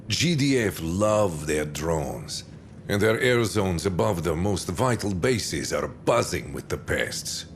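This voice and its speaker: An actor playing a stern, calculating military commander in a game cutscene, speaking with measured, deliberate menace. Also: male voice, low voice, a little hiss or hum, heavily acted. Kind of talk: dramatic scripted game briefing.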